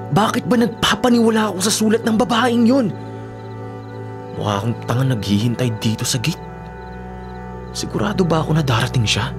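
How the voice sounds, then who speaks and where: Radio drama casting: man playing sincere, suspicious young boyfriend, fairly high voice, expressive delivery. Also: lightly acted. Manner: expressive, stammers when startled. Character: earnest, lovestruck young boyfriend, uneasy and suspicious. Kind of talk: radio drama acting with inner monologue.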